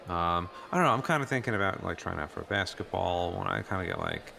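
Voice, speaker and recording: A man playing an earnest teenage new student in an improvised radio play, talking haltingly in casual teen slang. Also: low-pitched, expressive, creaky, bright, forceful tone, slight background noise, exaggerated voice. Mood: earnest, self-doubting.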